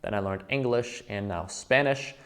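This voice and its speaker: Persuasive young man pitching. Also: normal speed. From Quebec, Canada. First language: French. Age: 20s. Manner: emphatic, persuasive, direct address to viewer. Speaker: trading educator. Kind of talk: promotional pitch to camera.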